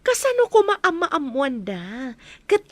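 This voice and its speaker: A cruel mistress in a Filipino radio drama, high-pitched and very animated, with an Ilocano accent. She is sharp, bossy and commanding. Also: takes it slowly, no echo, heavily acted. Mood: menacing, scornful. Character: cruel bossy woman guarding a bound captive maid.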